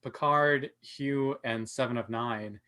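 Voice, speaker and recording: A man's voice: medium pitch, slow pace, pushed and bright, clean tone. A man talking casually as a panelist in a fan discussion of a TV series. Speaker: podcast panelist.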